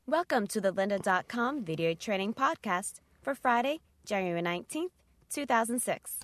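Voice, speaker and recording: A woman's voice: medium pitch, animated, moderate pace, a bit bright. A woman reading a scripted podcast introduction as an announcer.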